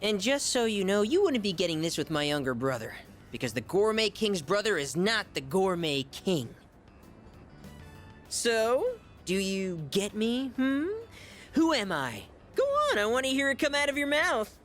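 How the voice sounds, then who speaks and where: Pompous, gluttonous schoolboy with wild pitch swings. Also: male voice, sing-song, measured pace, a bit bright, soft background music, full character voice. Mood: bossy, boastful. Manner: bombastic, dramatic swings between squeals and low asides. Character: gluttonous, pompous, theatrical male high-school student, self-styled gourmet. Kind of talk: video game voice acting.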